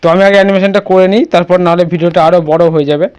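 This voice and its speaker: A man's voice: high-pitched, steady tone, very fast, a little mellow, smooth. A man presenting a casual step-by-step screen tutorial, instructing and often checking that the listener follows.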